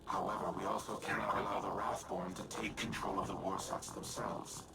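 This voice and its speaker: An actor playing an ancient male war AI in a video game, with a measured, formal and grave delivery. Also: fairly low pitch, level tone, heavy background noise, lightly acted. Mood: solemn. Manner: grave and precise, clipped statements. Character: ancient male war AI, solemn and guilt-ridden. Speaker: military AI guiding the player. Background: loud game music.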